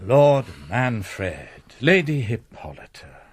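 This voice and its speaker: An actor playing a sanctimonious, slyly calculating priest in a radio drama, measured and pompous, with confiding asides. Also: male voice, mid-range voice, very animated, no room sound. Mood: formal, pious.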